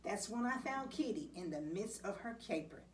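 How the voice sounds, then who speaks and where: A woman reading a children's picture book aloud, with dramatic, expressive delivery and deliberate pauses. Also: medium pitch. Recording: distant mic, faint background noise.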